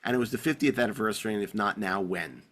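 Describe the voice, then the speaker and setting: A man's voice: on the low side, fast talker, vocal fry, fairly bright tone. Chatty, enthusiastic man hosting a podcast. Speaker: podcast host. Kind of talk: casual podcast banter.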